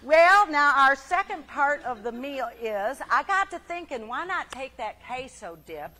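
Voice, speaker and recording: Brief: actress as a homespun TV cook, bright and chirpy, rambling with little fillers. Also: female voice, high voice, big pitch swings, measured pace, full character voice. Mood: folksy chatty. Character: folksy cheery middle-aged woman cooking-show host. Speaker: cooking show host character. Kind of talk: comic cooking segment.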